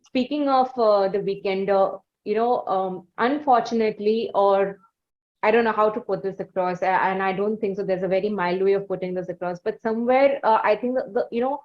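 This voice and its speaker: A woman's voice: medium pitch, a little fast, muffled recording. A woman with an Indian accent hosting an interview, phrasing questions politely in long, hesitant, wordy sentences. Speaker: interview host. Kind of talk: conversational interviewing.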